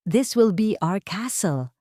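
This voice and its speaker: Woman voicing an excited, eager little boy. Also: medium pitch, slow pace, dry recording, clean studio sound, pitched above the performer's own voice. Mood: cheerful. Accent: Filipino. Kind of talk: audio story character voice.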